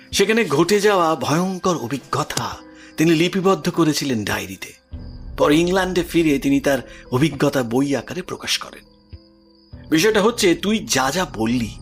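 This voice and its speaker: An actor playing an earnest, grave professor obsessed with the paranormal, speaking insistently in an audio drama. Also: male voice, a little high, fairly bright tone. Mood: grave, explanatory. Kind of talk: audio drama acting in Bengali.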